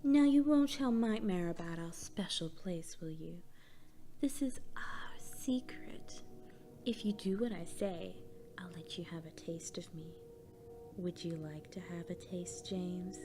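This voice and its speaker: A cruel and seductive villainess, acted by a woman in an audio drama. She speaks very slowly, slipping toward whispers to menace her prey, with faint music behind her. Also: lowish voice, close to an everyday voice. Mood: seductive threat. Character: cruel sadistic female dominator, menacing and seductive.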